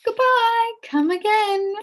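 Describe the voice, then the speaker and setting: A woman voicing a kindly, sad old witch from a storybook, gently resigned and soothing. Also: very high-pitched, takes it slowly, voice pushed up. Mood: brisk, cheerful.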